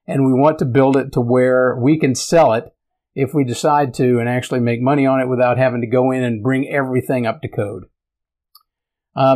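A middle-aged man talks casually in a raspy voice, working through a list of points one by one. He rambles with frequent ums, uhs and restarts, like a relaxed vlogger thinking out loud. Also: mid-range voice. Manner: rambling, many fillers and restarts. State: raspy voice today. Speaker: tiny home vlogger. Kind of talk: casual commentary reacting to a list.

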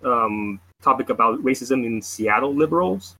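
A man's voice: medium pitch, lively intonation, normal speed, bright, forceful tone, low-quality recording. A man from Taiwan calling in to a show, talking casually with many fillers and hesitations. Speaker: caller. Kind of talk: casual call-in chat.

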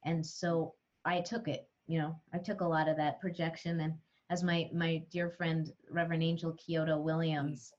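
A woman's voice: sits low, level tone, normal speed, hardly any pauses, dull audio. A middle-aged woman, a podcast guest, telling her story in an earnest, frank way. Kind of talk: candid interview talk.

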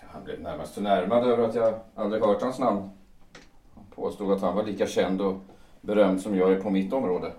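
An actor playing a melancholy, self-pitying writer of fifty in an audio drama, speaking haltingly and broodingly. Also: male voice, lowish voice, darkish tone, close to an everyday voice. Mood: confiding, uneasy. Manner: halting, brooding delivery with repeated words. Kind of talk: stage-play audio drama acting. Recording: roomy, echoing sound.

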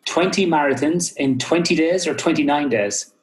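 A man's voice: on the high side, moderate pace, low-quality recording. A man with an Irish accent hosting a podcast, upbeat and encouraging as he introduces and questions his guest. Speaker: podcast host. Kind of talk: podcast interview. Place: home in Australia.

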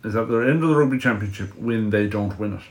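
A man's voice: on the low side, fast. A man chatting casually with friends, rambling and hesitant as he gives his opinion. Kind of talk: casual banter among friends.

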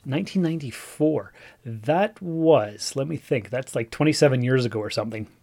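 A man's voice: mid-range voice, lively intonation, normal speed, creaky, warm tone. A man in his forties hosting a podcast, musing aloud in a chatty, rambling way. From Canada (Northern Ontario). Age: 40s. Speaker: podcast host. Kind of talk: casual solo podcast monologue.

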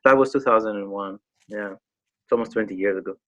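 A man in his thirties with a Greek accent, a native Greek speaker, chatting casually as an interview guest and often pausing to search for words. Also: lowish voice, fairly bright tone, muffled recording. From Greece. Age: 30s. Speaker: guest, graffiti artist.

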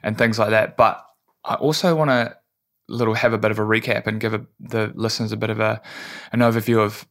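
A man hosting a podcast, talking in a relaxed, casual way. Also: lowish voice, brisk. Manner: relaxed, with fillers. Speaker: podcast host.

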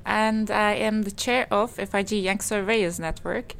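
Gravelly-voiced woman. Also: mid-range voice, fairly even intonation, measured pace, fairly bright tone, slight background noise. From Turkey. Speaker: interviewee, country manager. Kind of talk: brief interview introduction.

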